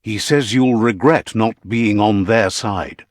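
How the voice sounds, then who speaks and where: A man playing a wry, philosophical narrator of an animal fable video game, telling it in a theatrical, measured, whimsical storybook style. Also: fairly low pitch, dry recording, clean studio sound. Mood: wry, instructive.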